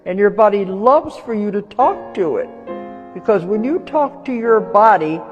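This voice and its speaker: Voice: medium pitch, animated, moderate pace, dull audio. A speaker telling anecdotes in a talk to an audience. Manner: storytelling, anecdotal. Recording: long reverb with faint music.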